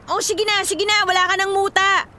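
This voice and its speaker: A woman's voice: very high voice, flat delivery, moderate pace, sharp tone. A Filipino actress in a radio drama playing a teasing, secretly lovelorn young woman in her twenties. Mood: playful, flustered. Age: 20s. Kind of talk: Tagalog radio drama acting. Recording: music behind the dialogue.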